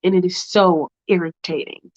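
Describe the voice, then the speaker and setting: A woman voicing a curt, impatient rich man in a low, flat, annoyed tone. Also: normal speed, muffled recording, lightly acted.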